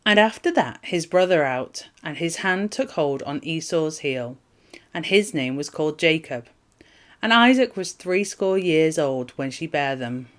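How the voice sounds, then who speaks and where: Low-pitched woman reading scripture aloud. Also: lively intonation, unhurried. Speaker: Bible reader. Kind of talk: scripture reading, formal narration.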